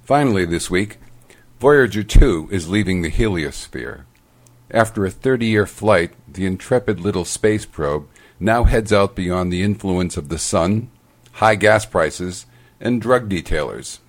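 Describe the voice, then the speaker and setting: A man's voice: deep voice, lively intonation, measured pace. A man hosting a podcast, reading a scripted closing segment with dry wit. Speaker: podcast host. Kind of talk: scripted outro.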